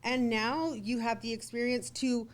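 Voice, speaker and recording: A woman with a deep voice, speaking as a podcast guest in an earnest, reflective way. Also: steady tone. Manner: earnest, reflective, slow and deliberate. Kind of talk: casual podcast conversation.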